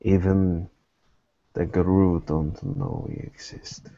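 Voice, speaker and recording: A man playing a weary, guarded private investigator in a tabletop roleplay, speaking in halting, deliberate, formal phrases. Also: low voice, level tone, dark tone, no echo. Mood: guarded, measured. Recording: dull lo-fi recording.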